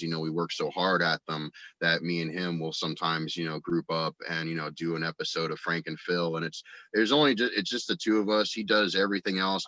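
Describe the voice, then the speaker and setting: A man from the United States chatting casually as an interview guest, rambling and halting as he feels for his words. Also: low-pitched, pushed and bright, dry recording. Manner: halting, rambling, frequent verbal hedging. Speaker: guest, audio drama actor and writer. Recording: dry, dull lo-fi voice recording.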